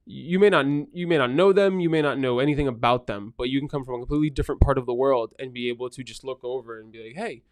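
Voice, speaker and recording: A man's voice: medium pitch, fast, few pauses, dry recording. Earnest man with a dry close sound. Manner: earnest, repeats words when starting a thought. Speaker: restaurant owner. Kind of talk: casual podcast conversation.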